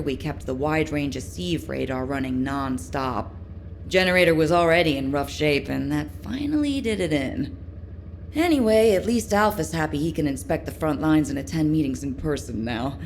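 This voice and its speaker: An actress playing a chatty, eager young military engineer in a game, informal, rambling and expressive. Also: female voice, low-pitched, slight background noise. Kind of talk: game character dialogue.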